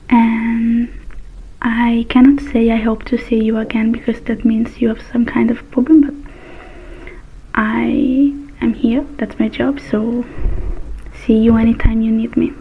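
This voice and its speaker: A woman doing an ASMR roleplay as a repair technician, whispering softly with gentle pauses. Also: a little high, vocal fry, highs cut off, slight background noise.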